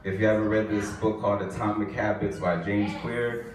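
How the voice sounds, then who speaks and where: A man's voice: lowish voice, steady tone, moderate pace, over music. A young man talking casually to a small cafe audience into a handheld mic between songs, loose and chatty. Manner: loose, chatty, addresses the crowd as y'all. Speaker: rapper performing live. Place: small indoor cafe stage. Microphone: handheld mic, filmed from a distant camera. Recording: echoey room, distant camera, loud backing beat.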